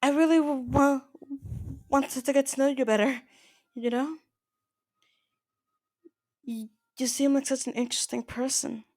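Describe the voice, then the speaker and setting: A woman playing an older mafia boss in an ASMR roleplay, soft-spoken, possessive and quietly menacing, with a halting, intimate delivery. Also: high-pitched, steady tone, no echo, studio quality. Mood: shy, confiding. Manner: halting, stammering, intimate address to the listener.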